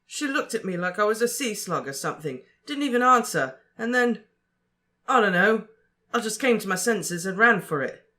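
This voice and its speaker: A reader voicing an excitable teenage boy who talks loudly and exclaims. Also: medium pitch, moderate pace, pitched above the performer's own voice. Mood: panicked. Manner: loud, agitated, exclaiming.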